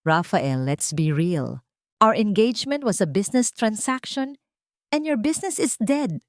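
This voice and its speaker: A woman's voice: mid-range voice, lively intonation, a little slow, no room sound, studio quality, pitched above the performer's own voice, close to an everyday voice. A woman voicing a cold, scornful Filipina socialite. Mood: cold contempt. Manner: contemptuous, cutting. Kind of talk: audio story dialogue.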